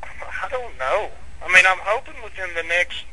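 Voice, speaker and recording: A man's voice: sits high, lively intonation, moderate pace, a crowd in the background, poor audio. A man with a Southern US accent, a musician guest in a casual interview chat, talking in a plainspoken, laid-back way.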